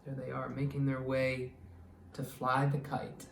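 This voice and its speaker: A man reading aloud to children as a teacher, with an expressive, deliberate delivery that draws out words. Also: mid-range voice, vocal fry, a little hiss or hum. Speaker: storytime reader and teacher. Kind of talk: read-aloud storytime for children. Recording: echoey room, distant mic.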